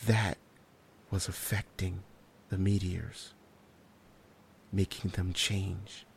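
A man's voice: low-pitched, level tone, extremely slow, vocal fry, slight background noise, full character voice. An actor playing a haunted survivor in a horror audio drama, recounting his ordeal in a hesitant, solemn and descriptive way. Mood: haunted awe. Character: haunted male survivor recounting a harrowing night.

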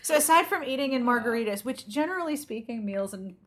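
A woman hosting a podcast, asking questions and reacting in a bright, upbeat way. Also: a little high, expressive, fast talker, heavy background noise. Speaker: podcast host. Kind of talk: casual interview and group chat.

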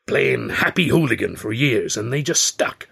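A man voicing a rough, plain-spoken American vaudeville comedian with a raspy voice in an audiobook. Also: mid-range voice, animated, normal speed, a bit bright, exaggerated voice. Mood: gruff, blunt. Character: cross-eyed rough American vaudevillian turned film comedian, male.

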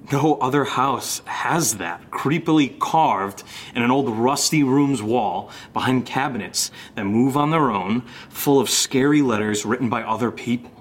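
A man's voice: a bit bright, husky. A man acting as a casual, sweary young man in an audio drama, a reassuring friend who is secretly rattled. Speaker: actor in audio fiction podcast. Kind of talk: naturalistic found-footage audio drama acting. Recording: noisy amateur recording with low rumble.

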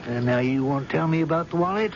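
An actor playing a gruff, sardonic police chief who presses a suspect in a radio drama. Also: male voice, fairly high pitch, quick pace, natural voice. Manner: insistent, sardonic, folksy interrogator. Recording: dull, noisy old radio recording.

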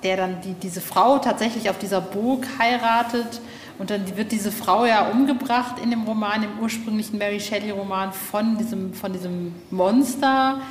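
A woman's voice: medium pitch, fairly even intonation, normal speed. A woman interviewer speaking casually and tentatively, repeating words as she thinks aloud, in a reverberant space. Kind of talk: casual conversational questioning. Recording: echoey, reverberant, faint background noise.

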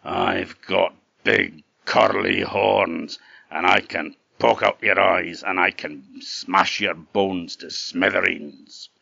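A man voicing a huge, bold billy goat in a storybook tale, with a big gruff voice and a menacing, challenging manner. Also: low voice, steady tone, takes it slowly, sharp tone, no room sound, lo-fi sound, full character voice. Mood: defiant, threatening. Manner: challenging, threatening. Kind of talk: storybook character voice.

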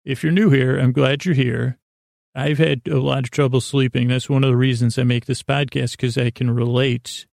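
A man hosting a bedtime sleep podcast, rambling in a halting, stammering way and often trailing off mid-thought. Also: medium pitch, level tone, a little mellow, no room sound, studio quality. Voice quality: gravelly, creaky. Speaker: podcast host.

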